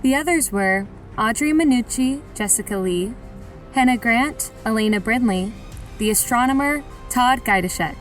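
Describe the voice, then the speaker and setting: A woman's voice: fairly high pitch, lively intonation, normal speed, soft background music. A woman hosting a radio drama, reading scripted cast credits and a sign-off.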